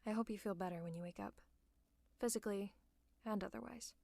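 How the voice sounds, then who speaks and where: A tough female supervillain with a soft spot, acted by a woman in an ASMR roleplay. Her voice is fairly high and recorded close and dry. She talks in a conversational way, reassuring with a gently teasing edge. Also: normal speed, no echo, close to an everyday voice. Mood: soft, concerned. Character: tough, caring female supervillain tending an injured hero. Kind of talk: ASMR roleplay audio drama.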